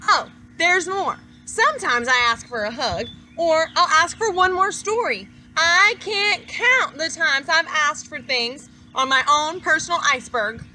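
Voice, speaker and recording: Lady doing a whiny worked-up pigeon from a kids book, high and all over the place, drags words out dramatically. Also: lively intonation, pushed and bright, heavily acted. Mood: indignant, frustrated. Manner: dramatic, complaining, drawn-out emphasis. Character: whiny, indignant male pigeon in a picture book.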